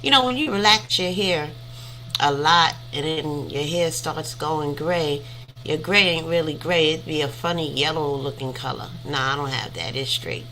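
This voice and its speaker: A woman's voice: deep voice, moderate pace, bright, forceful tone, slight background noise, rough recording. A woman in her fifties hosting a casual livestream chat, rambling and chatty as she thanks viewers by name. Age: 50s. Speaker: livestream host.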